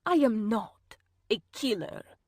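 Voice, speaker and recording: A woman reader voicing a cold, stern, self-righteous woman who speaks slowly and calmly, sometimes in a whisper. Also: a little high, slightly rough, dry recording, studio quality, heavily acted. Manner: slow, calm, measured, at times whispered. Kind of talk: audiobook character voice.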